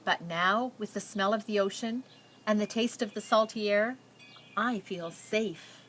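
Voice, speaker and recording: A woman reading a picture book aloud, telling the story and pausing with simple explanatory asides for young listeners. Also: medium pitch, a bit bright, slight background noise.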